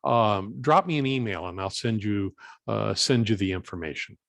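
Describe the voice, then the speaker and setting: A low-pitched man answers questions as a guest presenter. He is plain-spoken and halting, working through his explanation step by step, and the sound is close and dry. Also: expressive, vocal fry, a bit bright, dry recording. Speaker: guest presenter and volunteer coordinator. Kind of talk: informal question-and-answer after a talk.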